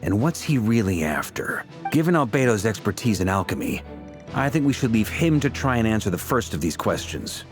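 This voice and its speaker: Polished game adventurer; expressive, swooping delivery, courteous, articulate, upbeat. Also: male voice, lowish voice, a little fast, soft background music. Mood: thoughtful, courteous. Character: upbeat, articulate, strategic-minded gentleman adventurer. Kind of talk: video game voice acting.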